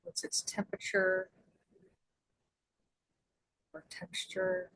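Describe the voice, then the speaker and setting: A woman leading a guided meditation as its guide, speaking in gentle, soothing invitations with long pauses. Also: medium pitch, big pitch swings, gravelly, husky, low-quality recording.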